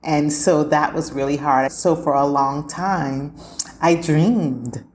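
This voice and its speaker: A woman's voice: low voice, slow pace, a little mellow, a little hiss or hum. A woman in her forties from Barbados and the United States, telling her own story reflectively as a podcast guest. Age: 40s. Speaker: podcast guest, school counselor.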